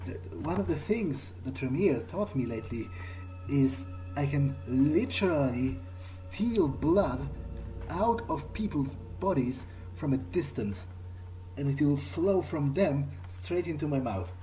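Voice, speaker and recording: A man narrating a gameplay video in character, thinking aloud in a halting way. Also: a little high, animated, dark tone, low-quality recording. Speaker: gaming video host. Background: game music.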